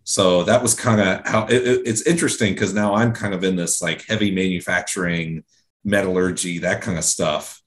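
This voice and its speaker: Rambling low-voiced American man. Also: steady tone, moderate pace. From Central Ohio, US. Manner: rambling, many fillers and restarts. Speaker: guest, engineering director. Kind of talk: casual interview talk.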